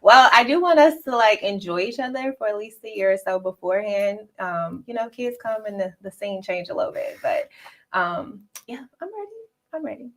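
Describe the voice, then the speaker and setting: A woman's voice: mid-range voice, animated, normal speed, a bit bright. A woman in her thirties from Chicago with an American accent, introducing herself in a friendly way on a dating show. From Chicago, United States. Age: 30s. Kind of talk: casual introductions.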